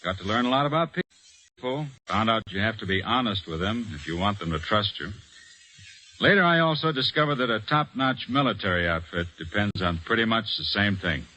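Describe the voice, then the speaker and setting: An actor playing an earnest, plain-spoken young Army corporal in an old-time radio drama. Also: male voice, on the low side, animated, fairly quick, sharp tone. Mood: plain, reflective. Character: earnest, determined young Army corporal telling his story. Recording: noisy old radio broadcast recording.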